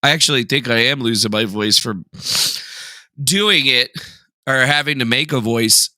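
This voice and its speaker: A man's voice: mid-range voice, moderate pace, pushed and bright, no echo. A young man casually hosting a livestream, joking sarcastically and reading viewer messages aloud. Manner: jokey, sarcastic, self-mocking; reads chat aloud and reacts. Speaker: livestream host.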